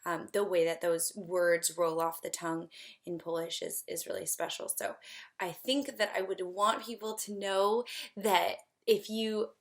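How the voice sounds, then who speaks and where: A woman's voice: fairly low pitch, little pitch movement, a little slow. A woman composer from the United States introducing her choral piece in an earnest, upbeat way, with frequent ums and restarts.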